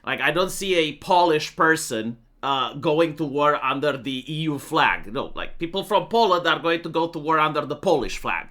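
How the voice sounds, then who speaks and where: A young man with a Romanian accent talks through his political views in a loose, opinionated monologue. His voice is very bright and sits a little high, and he leans on plenty of "uh"s and "like"s as he goes. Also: lively intonation, normal speed, few pauses. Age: young adult. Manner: opinionated, matter-of-fact, many fillers. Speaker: political commentator.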